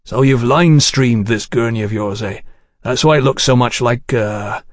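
A man playing a gruff, sceptical English field scientist in an audiobook, measured, wry and matter-of-fact. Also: medium pitch, dry recording, studio quality, close to an everyday voice. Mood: wry, conceding.

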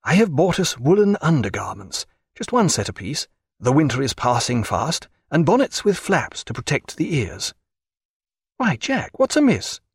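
A man's voice: fairly high pitch, sing-song, moderate pace, husky, no echo, studio quality, pitched above the performer's own voice, exaggerated voice. A man voicing a genial, attentive, mildly fussy friend in a sea novel, conversational and old-fashioned. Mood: brisk, concerned.

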